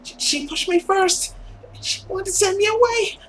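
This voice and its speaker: A man voicing a distraught, guilt-ridden young woman in a visual novel, with breathy whispers, thin falsetto and sobbing, drawn-out pleading. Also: fairly bright tone, a little hiss or hum. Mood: anguished pleading. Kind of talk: dramatic visual novel reading.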